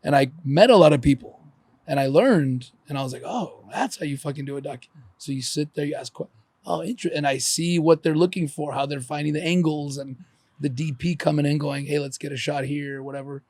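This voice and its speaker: A man's voice: on the high side, a little fast, darkish tone, no room sound. A man hosting a podcast, asking rambling questions and swearing casually. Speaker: podcast host. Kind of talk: casual interview chat.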